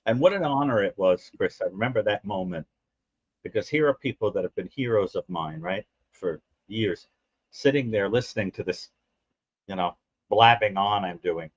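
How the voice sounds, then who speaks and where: A man talking as a guest on a live video call, rambling and halting with many restarts. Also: on the low side, lively intonation, breathy edge, no echo. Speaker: forensic psychologist guest. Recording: dry, muffled call audio.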